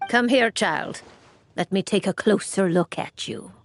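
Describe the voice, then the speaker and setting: Woman voicing a slow aloof seer witch. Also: mid-range voice, slight background noise, natural voice. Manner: measured, dry, commanding. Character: stern stargazing seer witch, calm and aloof. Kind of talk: video game voice acting.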